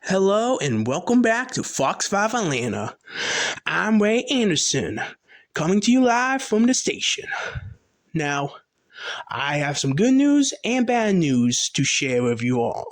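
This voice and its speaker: A man's voice: high-pitched, very animated, unhurried, heavily acted. A man playing a local TV news anchor in an amateur drama, with informal, dramatic delivery. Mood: grave, relieved. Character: male local TV news anchor sharing grave news. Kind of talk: live news report.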